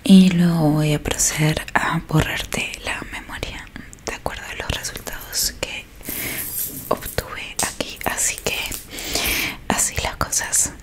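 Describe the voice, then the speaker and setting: Young argentinian woman doing asmr roleplay, breathy whisper, really slow, close on the mic. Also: low voice, fairly even intonation. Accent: Argentine Spanish. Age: young adult. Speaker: ASMR performer playing a technician who erases memories. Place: small dim room indoors. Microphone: close microphone in front of her.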